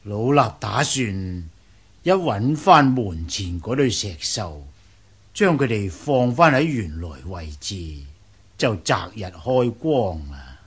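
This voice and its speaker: Radio drama old monk, Hong Kong Cantonese, very husky, lively intonation, measured and courteous. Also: male voice, on the low side, heavily acted. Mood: calm, courteous. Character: courteous old Buddhist monk, head of a temple.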